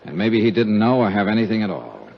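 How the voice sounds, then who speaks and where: A man's voice: on the low side, big pitch swings, fast, vocal fry, a little hiss or hum. An American man acting a tough, dogged insurance investigator in a 1950s radio detective drama, with a clipped, dry, terse delivery. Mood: terse, brooding. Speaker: lead actor and narrator. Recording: muffled 1950s radio recording, music behind.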